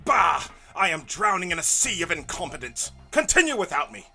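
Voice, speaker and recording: A man's voice: high-pitched, big pitch swings, moderate pace, sharp tone, faint music behind, heavily acted. A man playing a morose, hot-tempered young villain scientist who rants with melodramatic contempt in a comic audio drama. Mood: furious.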